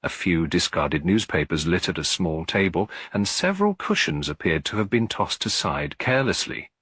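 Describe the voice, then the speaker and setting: Male audiobook narrator, husky low voice, measured literary delivery, close dry sound. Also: sing-song, a bit bright, no room sound. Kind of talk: scripted mystery story narration.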